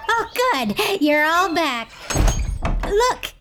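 A woman's voice: very high voice, very animated, very slow, heavily acted. A woman playing a cheerful fairy aunt. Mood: eager. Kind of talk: audio drama acting.

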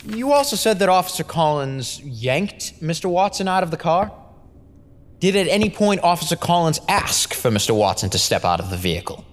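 A man's voice: on the high side, sing-song, normal speed. Man playing a pressing lawyer, long echo. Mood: insistent. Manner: insistent, formal questioning. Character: pressing, methodical male defense lawyer. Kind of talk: courtroom audio drama. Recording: reverberant room, faint noise.

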